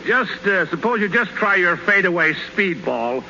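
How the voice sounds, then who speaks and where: An actor playing a gruff, wisecracking baseball team captain who barks at his players in a 1930s radio comedy. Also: sits high, expressive, unhurried, sharp tone, exaggerated voice. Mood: dry sarcasm. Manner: wisecracking, barking, slangy. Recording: muffled, noisy 1930s radio broadcast recording.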